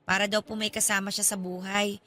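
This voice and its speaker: A woman's voice: mid-range voice, level tone, a little fast, pushed and bright, close to an everyday voice. An actress playing a polite, guarded young female college student in a radio drama, speaking with hesitant reserve. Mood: explaining. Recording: music under the voice.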